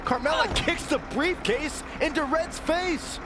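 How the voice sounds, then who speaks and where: An actor playing an earnest, excitable and concerned wrestling commentator in a video game. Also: male voice, very high-pitched, unhurried, noisy background. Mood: alarmed.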